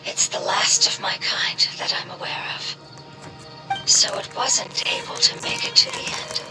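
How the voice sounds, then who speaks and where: Actor as ancient forest spirit, whispered, breathy, solemn, formal, frail, video game creature, loud music behind. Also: unhurried, close to an everyday voice, rough recording. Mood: weary regret. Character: ancient, wise, ailing elemental creature of the rainforest.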